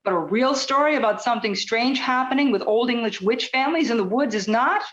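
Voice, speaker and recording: A woman playing an inquisitive, persistent journalist in a radio play, probing and brisk. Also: a little high, animated, a bit bright, dull audio. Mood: argumentative, determined.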